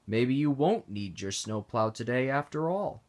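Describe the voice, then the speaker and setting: This is a kind, careful engine driver in a children's story. A man puts him on, speaking gently and slowly, with plenty of rise and fall in his voice. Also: medium pitch, lively intonation, lightly acted.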